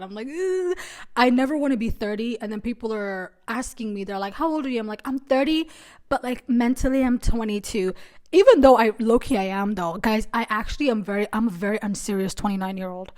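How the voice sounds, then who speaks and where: A woman in her late twenties hosting a solo podcast, talking in a chatty, animated, self-mocking way. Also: fairly high pitch. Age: 20s. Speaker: podcast host. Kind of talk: casual solo podcast chat.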